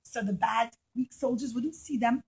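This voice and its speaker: A woman's voice: fairly high pitch, moderate pace, darkish tone, slightly rough. A woman telling a story to young children in simple, repeated phrases, often putting questions to her listeners.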